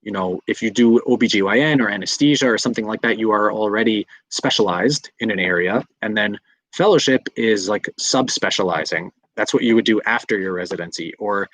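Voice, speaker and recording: Man, 30s, doctor answering questions live, lowish voice, talks through it with fillers and restarts, dry room. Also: fairly quick, fairly bright tone, dry recording, poor audio. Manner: explanatory, many fillers and restarts. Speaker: physician in residency answering viewer questions. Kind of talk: live Q&A talk.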